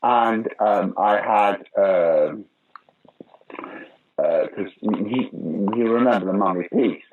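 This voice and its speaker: Low-voiced older man telling stories. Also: slow. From Britain. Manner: chatty storyteller, acts out quoted voices. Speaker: interviewee, actor and pantomime director. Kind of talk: casual anecdotal interview. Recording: dull lo-fi audio with faint noise.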